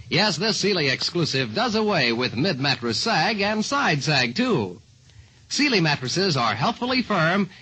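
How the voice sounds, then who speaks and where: A man's voice: on the high side, pushed and bright, husky, rough recording. A man reading a vintage radio commercial as announcer, in an emphatic salesman's delivery. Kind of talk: 1940s radio commercial pitch.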